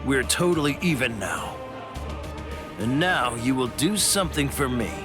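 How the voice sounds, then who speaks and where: An actor playing a brash, hot-tempered warrior villain in an English anime dub, curt, taunting and bossy. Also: male voice, mid-range voice, very animated, unhurried, music in the background. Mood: mocking, commanding.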